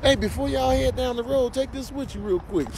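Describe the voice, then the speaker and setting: A man's voice: extremely high pitch, brisk, crowd noise. A man doing comedic improvised animal voiceover in playful, slangy patter.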